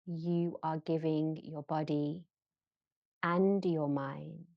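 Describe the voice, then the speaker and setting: Very slow, low-voiced woman guiding relaxation. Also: steady tone, gravelly, no echo, muffled recording. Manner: measured, gentle, with long pauses between short phrases. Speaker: yoga nidra guide. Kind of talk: slow guided relaxation meditation.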